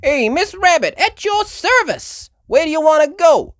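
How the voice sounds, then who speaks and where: A brash, helpful female rabbit who drives a city cab in a children's storybook, acted by a woman reader. The voice is pitched high and very animated, with a chirpy tour guide manner. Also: measured pace, no room sound, studio quality. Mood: brash cheer.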